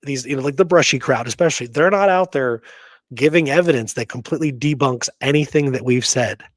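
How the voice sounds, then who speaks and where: A man's voice: mid-range voice, a little fast, no echo. Emphatic, informal man hosting a history discussion. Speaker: podcast co-host.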